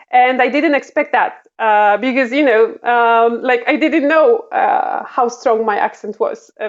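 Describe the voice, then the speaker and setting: Hesitant Polish-accented woman, lo-fi call audio. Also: a little high. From Poland. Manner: halting, many fillers and restarts. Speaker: diplomat guest. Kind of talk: interview answers.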